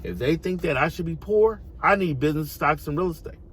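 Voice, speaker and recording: American man, very swingy pitch, emphatic street-slang commentary, exhorting, slight background noise. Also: lively intonation, a little fast. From United States. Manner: emphatic, exhorting, repeats phrases for effect. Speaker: online commentator. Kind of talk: opinionated informal monologue.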